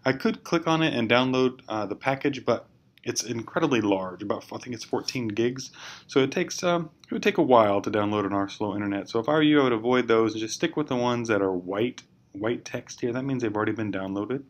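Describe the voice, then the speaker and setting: A man giving an informal, step-by-step software tutorial in a hesitant, explanatory way. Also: medium pitch, fairly quick. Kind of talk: informal screencast walkthrough. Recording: clear background noise, amateur screencast.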